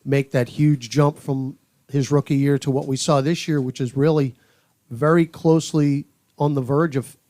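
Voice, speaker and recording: A man's voice: medium pitch, steady tone, moderate pace, a little mellow. A man, a radio play-by-play announcer, chatting casually on a podcast in a hesitant way, full of "uh" and "you know". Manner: hesitant, filler-heavy phrasing.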